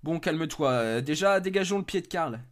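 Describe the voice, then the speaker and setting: A man voicing an agitated forest rescuer in an amateur horror story, brisk, blunt and colloquial. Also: on the high side, animated, pitched above the performer's own voice, natural voice. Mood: alarmed, angry.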